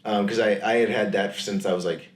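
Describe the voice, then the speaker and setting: A man co-hosting a podcast in casual chat, rambling and slipping into quick silly voices when quoting. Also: lowish voice, fairly even intonation, reverberant. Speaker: podcast co-host.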